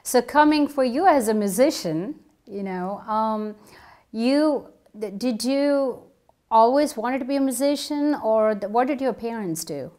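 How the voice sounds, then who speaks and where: A middle-aged woman hosting a TV interview in a living room, animated and eager, with a lapel mic. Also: a little high, a little slow. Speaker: TV host.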